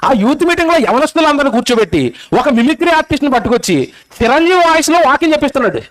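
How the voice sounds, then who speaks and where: A male preacher delivers a fervent sermon in an extremely high-pitched voice. He talks quickly, pressing the gathering with scolding rhetorical questions and urgent exhortation. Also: lively intonation, fairly bright tone, rough recording.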